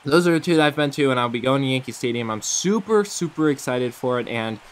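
A man's voice: medium pitch, animated, quick pace, a little hiss or hum. A young man from the Pittsburgh area, a bit nasal while getting over a cold, chatting upbeat play-by-play over his baseball video game. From Pittsburgh area, USA. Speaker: gaming YouTuber. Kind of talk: gameplay commentary.

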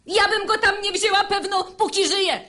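An actress playing a saucy, sharp-tongued young maidservant in a verse comedy for radio, sarcastic and theatrical. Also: female voice, very high-pitched, steady tone, moderate pace. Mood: defiant, mocking. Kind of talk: classical verse comedy, radio play acting.

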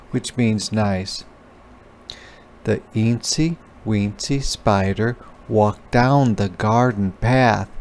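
A man reading a children's storybook aloud as a teacher, pausing to explain words. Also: medium pitch, a little mellow.

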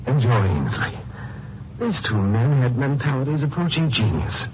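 An actor playing an earnest, wry lawyer hero in a 1950s radio drama, with an American accent. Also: male voice, medium pitch, sing-song, normal speed, natural voice. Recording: muffled, noisy old radio recording.

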